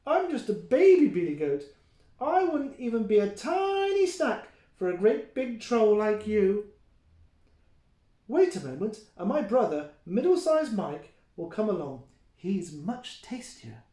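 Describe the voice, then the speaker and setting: A man's voice: high voice, sing-song, a little slow, warm tone, voice pushed up. A man voicing a small, clever young billy goat in a children's storybook, with a polite, sing-song manner. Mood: pleading. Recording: roomy, echoing indoor sound.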